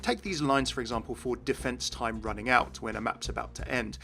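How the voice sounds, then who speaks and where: A man's voice: medium pitch, a little fast, creaky, bright, forceful tone. A man hosting a gaming video, narrating in an enthusiastic explainer style. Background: music.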